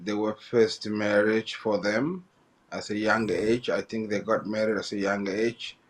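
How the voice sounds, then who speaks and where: Halting man. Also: lowish voice, fairly even intonation, a little hiss or hum. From Somalia. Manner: halting, repeats and restarts phrases. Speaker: guest. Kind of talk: interview, telling his life story.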